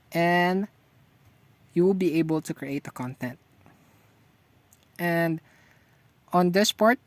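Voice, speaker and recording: A tutorial host gives step-by-step narration for a screen walkthrough. The voice sits at a medium pitch, and the sound is dry with very little room in it. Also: no room sound.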